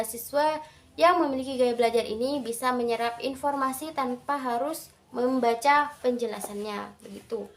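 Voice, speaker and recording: A young adult woman giving a prepared student presentation in careful, halting phrases. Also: sits high.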